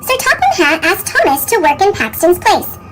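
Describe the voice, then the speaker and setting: A woman reading a children's story aloud in a squeaky, pitch-shifted chipmunk voice. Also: big pitch swings, moderate pace, background noise. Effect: sped-up chipmunk pitch shift. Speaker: storybook reader.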